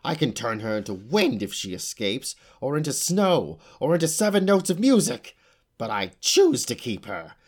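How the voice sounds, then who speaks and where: A man's voice: sits low, very animated, measured pace, creaky, full character voice. A man voicing an old, boastful and fierce female witch who talks with a sneer. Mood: menacing. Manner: sneering, snarling at times.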